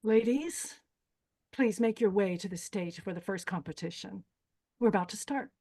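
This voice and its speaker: A woman's voice: medium pitch, normal speed, warm tone, close to an everyday voice. A woman playing a stern, callous older beauty queen who hosts a pageant in a screenplay table read, commanding and cold in manner. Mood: coolly commanding. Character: stern, callous 64-year-old female pageant host, former beauty queen.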